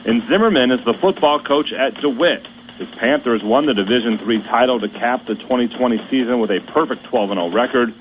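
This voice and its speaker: A man hosts a radio sports roundup in a steady, measured announcer voice at a middle pitch. The sound is thin and tinny, like a phone line, with faint hiss underneath. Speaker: radio sports show host. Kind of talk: scripted sports news reading.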